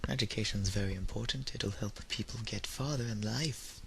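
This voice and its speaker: A man's voice: fairly low pitch, normal speed, vocal fry. A tired, slightly unwell young man rambling casually in a solo audio log, with dry, self-deprecating humour. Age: teenager or young adult, high-school student. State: tired, under the weather. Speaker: YouTuber giving an audio log. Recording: noisy home recording with background hiss.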